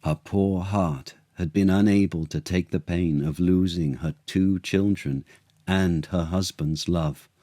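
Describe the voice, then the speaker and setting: A man reading a children's story as dramatic narration, deliberate, expressive and theatrical. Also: low-pitched, no echo, studio quality.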